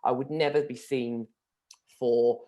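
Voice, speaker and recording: Thoughtful man with many pauses. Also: mid-range voice, steady tone, dark tone. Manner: thoughtful, hesitant, many ums. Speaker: guest. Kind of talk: reflective interview talk.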